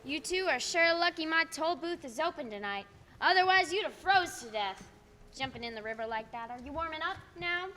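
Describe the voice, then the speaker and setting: Casting: young woman playing folksy, suspicious tollkeeper, high and animated, radio drama, echoey hall. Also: a little fast. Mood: brisk. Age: teens to young adult. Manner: folksy, blunt. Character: blunt, folksy, suspicious toll booth keeper. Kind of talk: stage radio play acting.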